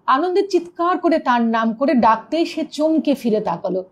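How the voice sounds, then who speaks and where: High-pitched woman reading a ghost story. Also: very animated, normal speed. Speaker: story reader. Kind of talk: dramatic narration of a ghost story.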